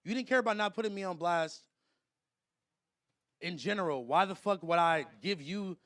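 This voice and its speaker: A man's voice: high voice, moderate pace, a bit bright, no room sound, clean studio sound. A man in a heated podcast argument, speaking confrontationally with a lot of profanity and repeating himself.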